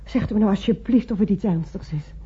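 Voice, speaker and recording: A woman's voice: mid-range voice, fast, dark tone, slightly rough. An actress playing an anxious, insistent female patient in a radio drama, speaking earnestly. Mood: uneasy, insistent. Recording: muffled old radio recording.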